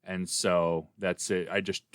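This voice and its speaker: Middle aged guy on a podcast, lowish voice, super dry sound, rambly casual chat, stops and starts. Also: level tone, slow pace, smooth, no echo. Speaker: podcast co-host.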